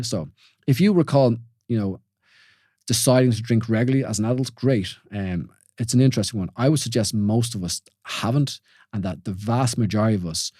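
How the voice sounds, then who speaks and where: Irish man in his forties, low-pitched. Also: darkish tone, no room sound, studio quality. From Ireland. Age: 40s. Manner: informal, questioning, many restarts and "you know". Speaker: podcast host. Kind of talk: reflective solo podcast talk.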